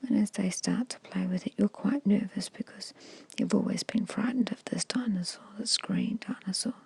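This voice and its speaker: A woman guides a meditation in an extremely breathy, hushed whisper with a creaky grain to it. She speaks slowly and soothingly, like an ASMR hypnosis storyteller. The sound is close to the microphone and very dry. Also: medium pitch, dry recording.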